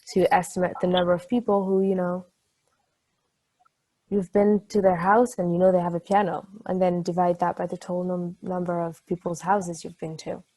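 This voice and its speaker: An affectionate, playful and brainy girlfriend played by a woman chats in a natural, gently teasing way, as in a stage drama. Her voice is fairly low and very soft-edged. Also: little pitch movement, normal speed, natural voice, rough recording. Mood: explaining. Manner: casual, conversational, gently teasing. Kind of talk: conversational table-read acting.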